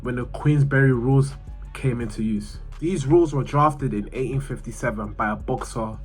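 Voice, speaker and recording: Male explainer-video narrator, mid-pitched, reading from a script with the odd stumble, music underneath. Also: normal speed, breathy edge. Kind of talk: scripted narration, read aloud. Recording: music behind the voice. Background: background music.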